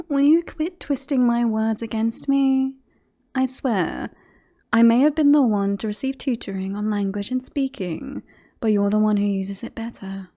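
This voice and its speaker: Woman playing a slow, sultry flirtatious royal. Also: a little high, warm tone. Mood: teasing, flirtatious. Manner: teasing, sultry, breathy sighs and whispery phrases. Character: flirtatious, smug, romantic royal teasing a beloved knight. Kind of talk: ASMR roleplay acting.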